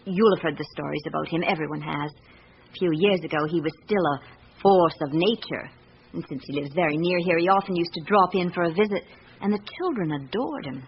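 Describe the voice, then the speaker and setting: An actress with a British accent playing a candid, observant secretary in a radio drama, speaking in a measured, confiding way. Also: female voice, on the low side, natural voice. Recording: muffled radio recording with background hiss.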